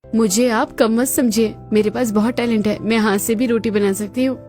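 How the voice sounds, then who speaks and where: A woman's voice: fairly high pitch, fairly even intonation, moderate pace, darkish tone, faint music behind, lightly acted. A woman playing a capable, long-suffering young daughter-in-law in a cartoon story. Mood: confident, reassuring.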